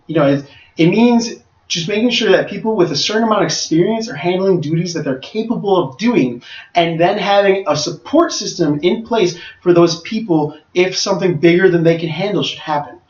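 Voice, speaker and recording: A man co-hosting a casual podcast as a tournament organizer, giving announcements and explanations in an upbeat way with frequent fillers. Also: high voice. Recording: roomy, dull, distant mic.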